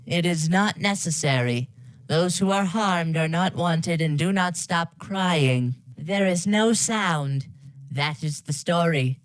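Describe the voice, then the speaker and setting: A woman's voice: on the low side, slow pace, no echo. Matter-of-fact synthetic woman reading, dry sound. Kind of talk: scripted reading of game dialogue.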